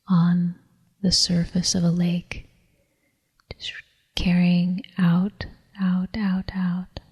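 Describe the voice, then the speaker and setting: A woman leading a guided meditation in a soft, whispery, breathy voice, calm and soothing, with long unhurried pauses. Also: fairly low pitch. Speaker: meditation teacher. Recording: long reverberant hall echo.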